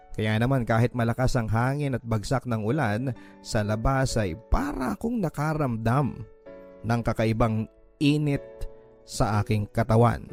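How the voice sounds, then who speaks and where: A man with a Filipino accent tells a first-person story as a radio drama narrator. His voice sits at a medium pitch. Music runs clearly behind him. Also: darkish tone. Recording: background music.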